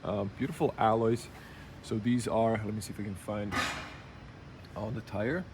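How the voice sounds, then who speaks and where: A man with a slightly rough early-morning voice, giving a casual, unscripted walkaround review in halting, item-by-item phrases. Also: lowish voice, background noise. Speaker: car reviewer. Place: car show exhibition space.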